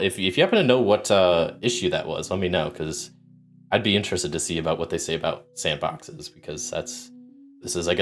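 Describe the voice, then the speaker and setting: A man with a very deep, low voice, croaky from a sore throat that is starting to take his voice. He talks in loose, rambling livestream chat, full of ums and restarts as he greets viewers and explains rules. Soft music plays faintly behind him. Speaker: solo RPG streamer.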